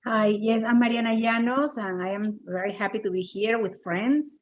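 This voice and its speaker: A woman's voice: a little high, fairly even intonation, moderate pace, highs cut off, slight background noise. A middle-aged woman from Peru, a native Spanish speaker with a Peruvian Spanish accent, introducing herself conversationally as a guest author.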